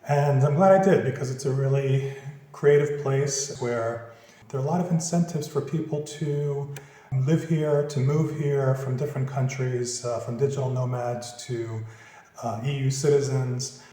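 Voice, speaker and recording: A man in his fifties, from Philadelphia and a native Greek speaker, talks in an easygoing way and hands out practical advice. His voice is mellow and relaxed, with an even, middle pitch. He sounds like he is in a strongly echoing room. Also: a little slow. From Philadelphia, USA. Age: 50s. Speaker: interviewee. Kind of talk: casual interview answers. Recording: very echoey room.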